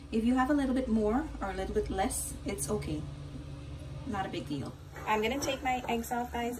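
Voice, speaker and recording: A Guyanese woman talks viewers through a home recipe at a middle pitch, calm and methodical. She is recorded in a home kitchen with plenty of noise around her. The clatter of pots and dishes stays clearly audible under her voice. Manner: steady step-by-step instructions, often says "guys". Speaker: cooking channel host. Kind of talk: home cooking tutorial.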